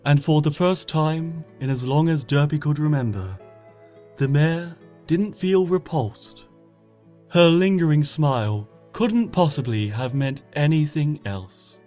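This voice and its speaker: A man reading a fan story aloud as an expressive narrator, often dropping to a hush at sentence ends. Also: fairly high pitch, measured pace. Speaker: fanfiction reader. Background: soft background music.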